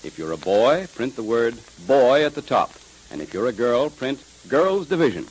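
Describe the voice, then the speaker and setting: Lively American male radio announcer. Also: medium pitch, normal speed, husky, rough recording. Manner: emphatic, polished salesmanship. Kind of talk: 1930s radio announcing, scripted sales pitch. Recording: hissy 1930s radio recording.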